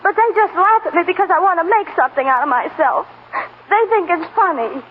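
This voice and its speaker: An earnest young farm girl with a high, emotional voice and a halting, hopeful way of talking. It is 1940s radio drama on a narrow, tinny recording. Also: lively intonation, a little hiss or hum. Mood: tearful, indignant.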